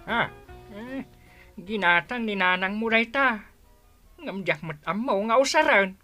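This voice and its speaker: A henpecked old village father in an Ilocano radio drama. He speaks slowly and high, hesitating and exclaiming naively, with music behind him. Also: animated, pushed and bright, full character voice. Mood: flustered. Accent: Ilocano Filipino.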